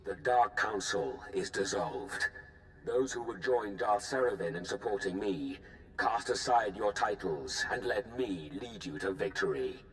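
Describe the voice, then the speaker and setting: A man's voice: fairly low pitch, animated, a little slow, a bit bright, slight background noise, poor audio. An actor playing a defiant male Sith lord proclaiming a new empire in a commanding broadcast speech, in a video game.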